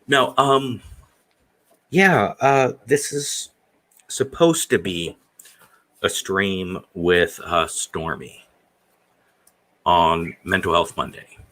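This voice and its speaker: A man's voice: low voice, lively intonation, drawn-out words, pauses often, sharp tone. A man in his forties hosting a casual live stream, rambling and hesitant, with many fillers. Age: 40s. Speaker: stream host.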